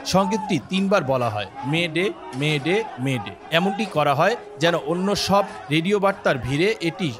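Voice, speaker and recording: A man's voice: fairly high pitch, animated, a little slow, a loud crowd, very noisy. A man reads a scripted news explainer as a narrator. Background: music bed.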